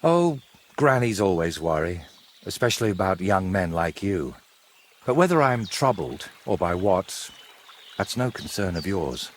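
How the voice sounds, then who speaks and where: An actor playing a brooding, secretive medieval painter in a historical video game, wry, teasing and evasive. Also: male voice, sits low, big pitch swings, measured pace, a little hiss or hum. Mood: troubled, guarded. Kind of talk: dramatic dialogue in a cinematic historical game.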